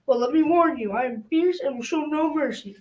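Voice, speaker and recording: A woman's voice: high-pitched, brisk, muffled recording, natural voice. A young girl playing a fierce, threatening knight in a school radio drama. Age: child or teen. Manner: formal, threatening. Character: fierce merciless male knight backing his friend. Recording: distant, noisy school recording.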